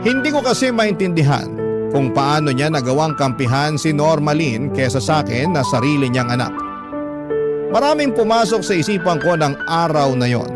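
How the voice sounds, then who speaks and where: A man narrating a letter as an emotive, measured dramatic radio story. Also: on the high side. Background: loud music.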